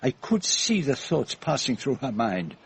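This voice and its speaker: An actor playing a shrewd, courteous and pompous little Belgian detective in a radio drama, with a French-speaking Belgian accent and precise, theatrical phrasing. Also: male voice, mid-range voice, big pitch swings, measured pace, creaky, slight background noise. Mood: confiding. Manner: precise, formal, theatrical, teasing.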